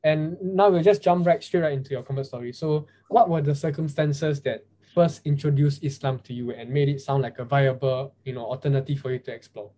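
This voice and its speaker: A man's voice: mid-range voice, fairly quick, hardly any pauses, warm tone. A man hosting a podcast, introducing the show and his guest in a friendly way with some filler words. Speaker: podcast host.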